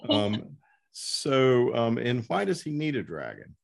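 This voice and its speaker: A man hosting an unscripted literary interview as interviewer, speaking haltingly with pauses mid-sentence. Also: mid-range voice, very animated, vocal fry. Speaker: interviewer and host.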